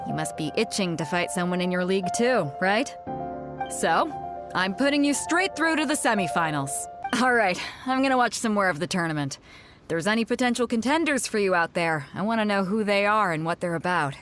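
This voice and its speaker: A woman's voice: a bit bright, close to an everyday voice. An actress voicing a bold, confident female sea captain who organizes a fighting tournament and teases her companions, in a game cutscene with music behind her. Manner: booming announcer calls to a crowd. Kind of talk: video game voice acting.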